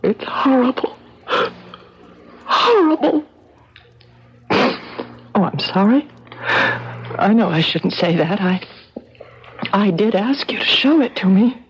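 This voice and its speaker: A woman's voice: mid-range voice, lively intonation, slow pace, pauses often. An actress playing an anxious, emotional woman in a radio suspense drama, with a dramatic, hesitant delivery. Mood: horrified. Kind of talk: 1970s radio drama acting. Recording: dull, faintly noisy old radio recording.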